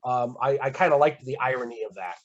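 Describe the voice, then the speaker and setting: Man, 50s, guest author, measured, explanatory, hesitant fillers, mid pitch, dull lo-fi audio. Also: a crowd in the background. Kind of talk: casual chat, explaining lore.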